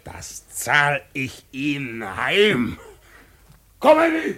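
An actor playing a stubborn, aggrieved middle-aged communist who argues combatively and repeats himself in a radio drama. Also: male voice, fairly high pitch, wildly swooping pitch, very slow, a bit bright, heavily acted. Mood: bitter, threatening. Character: aggrieved, stubborn communist party man, quarrelling neighbour.

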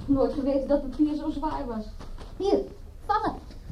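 A woman's voice: sits high, expressive, measured pace. An actress playing a teasing, breezy, casual woman of a gangster household in a radio play. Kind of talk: radio drama dialogue. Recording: muffled, noisy radio play recording.

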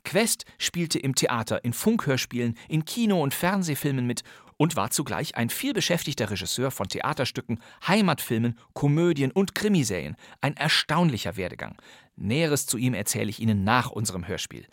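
A male podcast host reading a scripted introduction. His delivery is animated and clearly articulated, with a medium pitch and a close, dry studio sound. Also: moderate pace, no echo. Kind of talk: scripted radio presentation.

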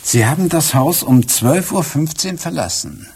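An actor playing a calm, probing police inspector in a German radio crime drama, questioning deliberately and with restraint. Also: male voice, fairly high pitch, husky, heavily acted.

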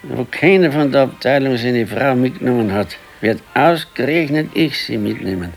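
An actor playing an elderly working-class husband with a Bavarian accent in a naturalistic radio play, curt, dry and grumbling. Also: male voice, mid-range voice, moderate pace, creaky, faint music behind, lightly acted. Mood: reminiscing.

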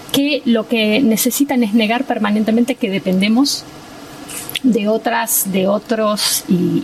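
Woman guest with a creaky gravelly voice, slow thoughtful academic talk, lots of pauses. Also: medium pitch, a little mellow, slight background noise, rough recording. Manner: measured, academic, with frequent hesitations. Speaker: guest speaker. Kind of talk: reflective political commentary.

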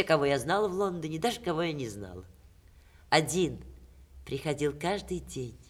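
An actress playing a witty, proud middle-aged stage star in a theatrical 1960s Russian radio play, expressive and teasing with dramatic pauses. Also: female voice, sits low. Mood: bright, animated.

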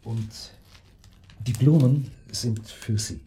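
An actor in a radio drama playing a courteous, discreet hotel receptionist with a formal manner. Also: male voice, medium pitch, takes it slowly, warm tone, lightly acted.

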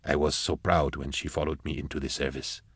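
A man voicing a bitter, grieving old agent in an audiobook, speaking in a measured, accusing way. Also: a bass voice, flat delivery, a bit bright, husky, dry recording, clean studio sound, voice pushed down, heavily acted. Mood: bitter, mournful. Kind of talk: audiobook character acting.

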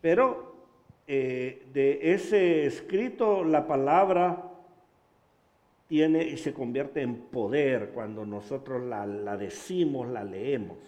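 A man from Honduras preaching in Spanish with a Honduran accent, pausing for an interpreter and telling stories with animated humor. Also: mid-range voice, muffled recording. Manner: animated storytelling, laughs at himself. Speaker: pastor. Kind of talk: bilingual sermon with interpreter.

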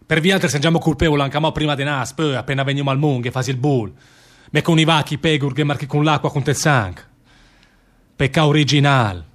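An actor playing a bitter, weary condemned anarchist emigrant worker with a northern Italian Lombard accent, declaiming in dialect in a radio drama. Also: male voice, a little high, fairly quick, natural voice. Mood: bitter, accusing. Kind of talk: stage-style radio drama monologue in dialect.

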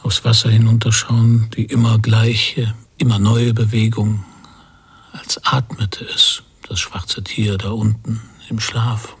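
An actor playing a pensive emigrant father at sea in a radio drama, speaking breathily in halting, introspective phrases, often near a whisper. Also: lowish voice, level tone, husky, full character voice. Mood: brooding, hushed. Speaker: narrator and lead character. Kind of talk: literary radio drama monologue.

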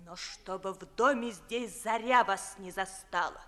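An actress playing a proud, wounded young gentlewoman in a 1950s theatrical radio play in verse, declaiming with breathy outbursts. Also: medium pitch, a little slow. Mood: indignant, cold.

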